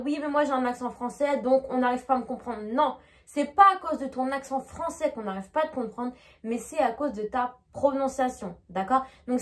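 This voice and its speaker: High-voiced French woman teaching. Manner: chatty, keeps asking "d'accord?". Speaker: English teacher. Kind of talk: online lesson to camera.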